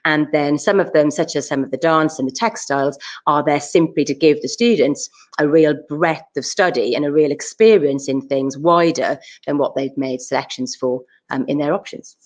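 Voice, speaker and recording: Brief: deep-voiced school staff member from South Wales, earnest and emphatic, fillers. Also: female voice, normal speed. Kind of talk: presenting at a school options evening.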